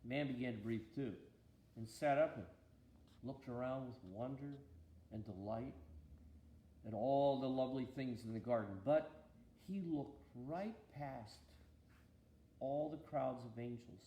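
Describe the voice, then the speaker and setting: A man reading a children's Bible storybook aloud with an expressive, deliberate storytelling manner. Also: mid-range voice, echoey room.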